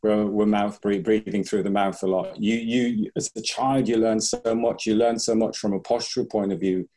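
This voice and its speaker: A man's voice: on the low side, steady tone, normal speed, mellow. A man who works as a breathing coach, speaking in a podcast interview, thinking aloud, restarting his sentences and acting out what his clients say. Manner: thoughtful, restarts often, acts out clients' voices.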